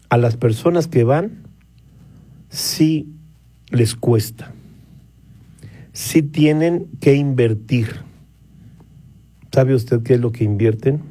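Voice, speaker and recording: A man's voice: medium pitch, dark tone, no echo. A man presenting a radio news segment, speaking directly to listeners and putting rhetorical questions to them. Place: radio studio. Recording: dry studio sound with faint music.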